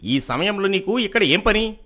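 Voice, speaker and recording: A man's voice: high voice, expressive, normal speed, bright, forceful tone, exaggerated voice. A man playing a threatening, scheming royal villain with a commanding, menacing manner. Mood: hostile, suspicious.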